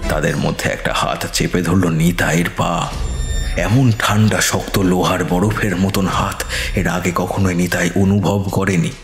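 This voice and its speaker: Male horror narrator, very low voice, measured and suspenseful, roomy echo. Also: fairly even intonation, gravelly. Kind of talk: scripted horror story narration. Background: eerie background music.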